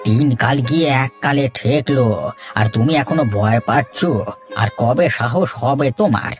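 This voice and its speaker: A man acts a stubborn cartoon villager who keeps hens and argues indignantly. His voice is mid-pitched, and faint music plays behind. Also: normal speed, close to an everyday voice. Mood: grumbling. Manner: indignant, argumentative. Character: stubborn, defiant village poultry keeper, grumbling husband. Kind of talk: cartoon dialogue acting.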